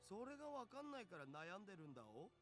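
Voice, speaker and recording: An actor playing an excitable geeky young otaku hacker with slangy speech in an anime-style visual novel. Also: male voice, sits high, very fast, soft background music. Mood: exasperated. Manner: slangy, geeky speech with quirky sentence endings.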